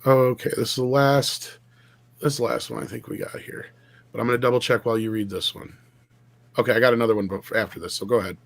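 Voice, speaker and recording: A man's voice: medium pitch, steady tone, fast, creaky, no echo. A man hosting a casual chat show, reading viewer questions aloud and swearing bluntly as he answers them. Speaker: podcast host reading super chats.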